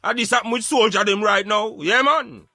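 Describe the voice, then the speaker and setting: A man's voice: high-pitched, moderate pace, bright, forceful tone, no echo, faint music behind. A Jamaican man giving emphatic, opinionated social commentary in Patois, like a street philosopher preaching on a radio show.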